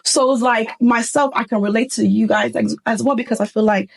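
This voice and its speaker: Young woman, American accent, earnest, halting, fairly high voice. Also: steady tone, vocal fry, slightly rough. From United States. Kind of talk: casual conversation.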